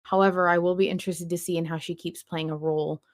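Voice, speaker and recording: Low-pitched woman, casually sweary podcast talk. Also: little pitch movement, quick pace. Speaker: podcast host. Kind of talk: casual fan discussion podcast.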